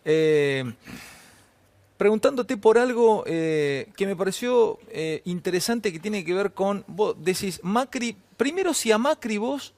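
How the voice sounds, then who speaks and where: Man, Argentine accent, TV interviewer, fast and chatty, cuts in with questions, fairly high voice, dry close sound. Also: lively intonation, a little mellow, dry recording. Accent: Argentine Spanish. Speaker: TV host.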